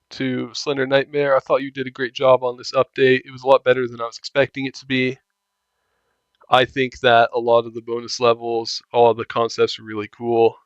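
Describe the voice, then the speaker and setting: A man's voice: medium pitch, smooth, dry recording. Man, casual walkthrough commentary, informal, close dry sound, slightly dull lo-fi recording. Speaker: video game guide maker.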